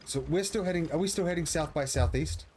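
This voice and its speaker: A man's voice: a little high, quick pace, darkish tone, slight background noise. A man in his thirties with an easygoing, agreeable manner, chatting casually as he streams a game. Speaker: streamer playing a pirate game. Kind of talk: casual gaming chat. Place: small indoor room, streaming setup.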